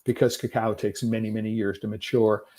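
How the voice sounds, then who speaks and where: A man's voice: on the low side, level tone, brisk, dark tone. A middle-aged man hosting a livestream, explaining in a loose lecture style with rhetorical questions, frequent "right?" checks and filler sounds. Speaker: livestream host.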